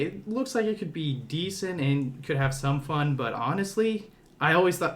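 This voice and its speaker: A man hosting a podcast, talking casually and informally about movies. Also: medium pitch. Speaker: podcast host. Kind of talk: casual movie discussion chat.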